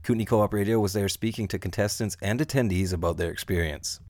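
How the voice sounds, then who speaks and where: Low-voiced male radio news reporter. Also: fast talker, a little hiss or hum. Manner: steady, read delivery.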